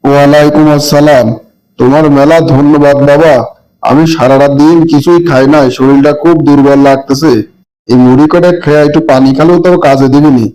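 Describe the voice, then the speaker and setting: A man's voice: a little high, fairly even intonation, fairly quick, dark tone, natural voice, rough recording. Village beggar for cartoon, male actor: meek, hungry, devout, pleading delivery, rural Bangladeshi accent. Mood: grateful. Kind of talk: amateur cartoon voice acting.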